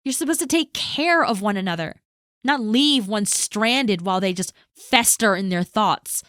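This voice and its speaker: A woman voicing a caring, protective best friend in an audio roleplay, talking earnestly to the listener and often dropping to a soft hush. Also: medium pitch, a little slow, no room sound, studio quality, natural voice. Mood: indignant, protective.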